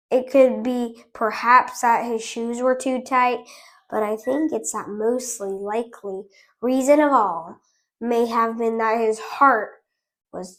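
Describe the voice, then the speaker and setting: A woman hosting a storytime channel, chatting with viewers and reading a picture book aloud. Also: on the high side. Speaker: storytime host.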